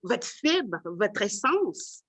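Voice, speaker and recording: A woman's voice: medium pitch, very animated, drawn-out words, husky, no echo. A woman hosting a panel discussion in a semi-formal, conversational way.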